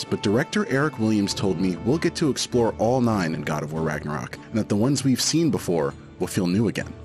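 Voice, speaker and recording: A man presents a scripted video preview. His voice sits fairly low, and light music plays behind it. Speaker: games journalist, presenter.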